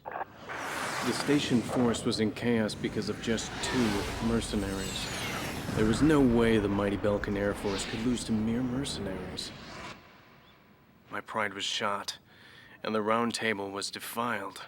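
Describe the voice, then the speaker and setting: A man playing a proud, reflective former fighter ace turned professor, talking deliberately and solemnly as in a documentary interview. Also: fairly low pitch, fairly even intonation, background noise, close to an everyday voice. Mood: indignant.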